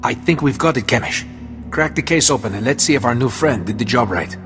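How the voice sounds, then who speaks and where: An actor playing a cautious resistance technician who speaks in a calm, measured way. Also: male voice, medium pitch, music in the background, lightly acted. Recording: echoey game cutscene with loud score.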